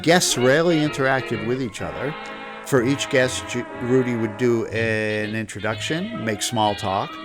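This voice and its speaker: A man's voice: mid-range voice, a little slow, over music. A man hosting a podcast, narrating a script about old radio shows. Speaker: podcast host.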